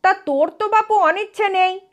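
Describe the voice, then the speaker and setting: Pushy middle-aged mother played by a female reader, very high voice, fussy and insistent, audiobook style. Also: moderate pace, dull audio. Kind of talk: audiobook character voice.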